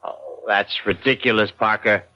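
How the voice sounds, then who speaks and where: An actor playing a nervous, guilt-ridden straying husband who is haughty with servants, stammering and fretful in 1970s radio drama style. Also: male voice, lowish voice, unhurried, sharp tone, no room sound. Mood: exasperated. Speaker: actor and first-person narrator. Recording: dull 1970s radio recording, music underneath.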